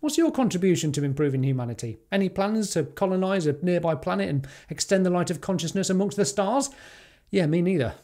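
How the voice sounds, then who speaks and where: A man's voice: sits high, animated, fairly quick, warm tone. A British man in his forties, a tech YouTuber giving scripted, enthusiastic commentary to camera from a home studio, with rhetorical questions and wry asides. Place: home studio with neon sign.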